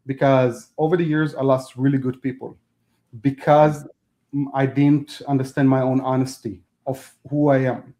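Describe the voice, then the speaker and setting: A man whose first language is Hebrew, a guest talking casually in an interview, halting and restarting as he speaks in non-native phrasing. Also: medium pitch, level tone, mellow. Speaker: podcast guest.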